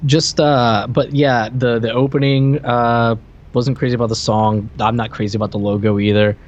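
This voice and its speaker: A man's voice: medium pitch, level tone, normal speed. Man in casual chat, dull lo-fi sound. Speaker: podcast co-host. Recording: dull, band-limited lo-fi voice track.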